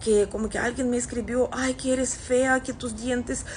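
A woman's voice: fairly high pitch, fairly even intonation, moderate pace. Russian-accented woman chatting over background noise. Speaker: social media content creator. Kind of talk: casual chat to camera, replying to comments. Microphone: phone at arm's length.

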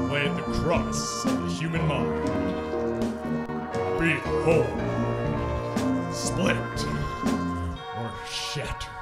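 Grave 1920s radio terror host, acted by a man, slightly high voice, unhurried florid phrasing, music behind. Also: distant mic. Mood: portentous. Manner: theatrical, portentous, slow deliberate phrasing. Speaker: host of a radio horror program. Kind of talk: old-time radio acting on stage. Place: dark small performance stage. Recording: echoey live stage, music behind.

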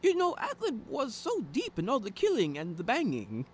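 A man playing an excitable hacker sidekick who muddles his words in an audio drama. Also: very high voice, moderate pace, a little hiss or hum, heavily acted. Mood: eager, excitable. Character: excitable, malapropism-prone male hacker sidekick.

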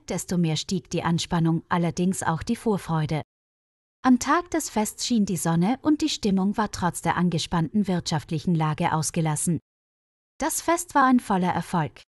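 A woman's voice: medium pitch, darkish tone, no echo, studio quality. A woman reading a scripted story for language learners, enunciating carefully. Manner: carefully enunciated, read-aloud delivery. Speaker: narrator.

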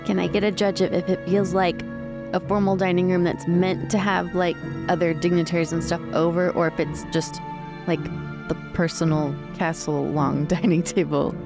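Creaky-voiced woman playing a roleplay game. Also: lowish voice, little pitch movement, normal speed, over music. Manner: informal, thinking aloud. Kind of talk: casual improvised roleplay narration and questions.

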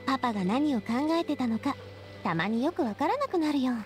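High-voiced, spirited young lady boss. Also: lively intonation, fairly quick, slight background noise. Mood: puzzled, candid. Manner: feminine, candid, reflective. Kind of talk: anime video game dialogue. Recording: studio voice with music behind.

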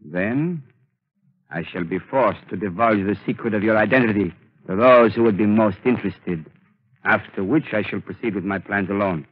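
A slow, menacing villain who means to rule the world, his low voice carried on a muffled old radio broadcast. He speaks in a measured, commanding way, as in a 1930s radio play. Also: slightly rough, dry recording. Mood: scheming. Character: scheming male mastermind bent on ruling the world. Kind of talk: 1930s radio drama acting.

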